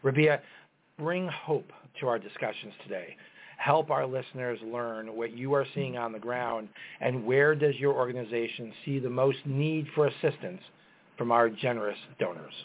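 A man's voice: mid-range voice, normal speed, slight background noise. A man hosting a podcast, reading a formal scripted introduction of his guest. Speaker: podcast host. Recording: tinny phone-like line.

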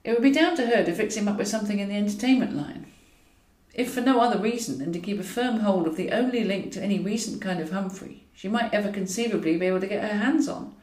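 A woman reading comic fiction aloud as a scripted audiobook, in a wry and expressive manner. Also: mid-range voice, fast. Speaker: author reading own novel. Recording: roomy echo, distant from mic.

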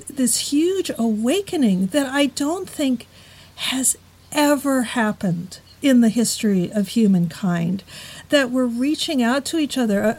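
A woman talking in a casual podcast conversation, thoughtful and measured, slowing down on key words. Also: fairly high pitch, darkish tone, poor audio.

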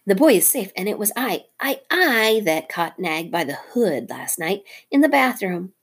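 A bold little mongoose from a storybook, crowing and daring, his voice pitched up and bouncing around, as a woman acts him out. A husky edge runs through his lines. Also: measured pace, exaggerated voice. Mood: triumphant. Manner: bright, excited and triumphant. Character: brave, boastful young male mongoose.

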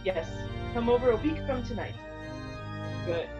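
A woman playing a genteel, brisk librarian who hosts radio mysteries, polite and theatrical. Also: on the high side, expressive, close to an everyday voice. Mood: brisk, teasing. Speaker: host narrator. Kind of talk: vintage radio mystery hosting. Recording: dull lo-fi recording under music.

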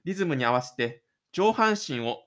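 A male narrator tells a story in an audio drama. His voice is a little higher than average, and he reads in a scripted, literary style. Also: big pitch swings, moderate pace, fairly bright tone.

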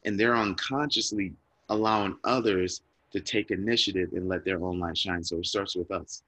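A man's voice: sits low, steady tone, normal speed, bright, forceful tone, no echo, rough recording. A man telling a personal story in an interview, earnest and reflective, growing emotional and repeating words when moved. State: emotional, near tears. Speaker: guest speaker.

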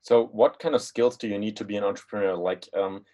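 A man's voice: lowish voice, fairly even intonation, fairly quick, warm tone, highs cut off. Rambling young male podcast host. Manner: rambling questions with restarts and "um". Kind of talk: casual interview hosting.

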